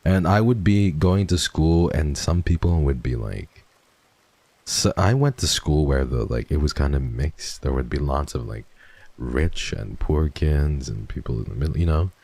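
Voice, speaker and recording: A man streaming a casual chat with viewers, swearing freely and jumping from low mumbles to excited high yelps. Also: a little slow, dry recording.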